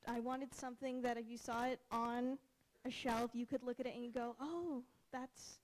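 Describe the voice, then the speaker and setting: A woman's voice: fairly high pitch, fairly even intonation, moderate pace. A young woman presenting her student design and answering questions in an earnest, accommodating way.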